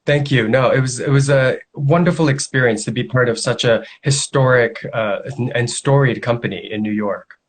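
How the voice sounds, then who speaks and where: Hong Kong man with frequent fillers. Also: medium pitch. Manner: measured, hesitant with many fillers. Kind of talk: video call interview. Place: home studio. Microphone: video call.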